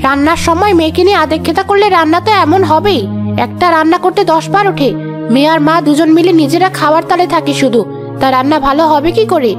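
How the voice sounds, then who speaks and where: A woman voicing a cruel, scolding older village mother-in-law in an animated horror story, nagging and full of contempt. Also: extremely high pitch, fast. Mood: contemptuous. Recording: music under the voice.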